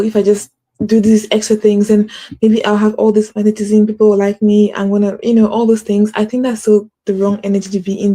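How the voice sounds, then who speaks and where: Woman, motivational host, mellow voice, light stutter, lots of fillers, earnest live-stream chat. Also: mid-range voice. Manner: stutters, uses many fillers; earnest, encouraging. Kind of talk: casual motivational talk.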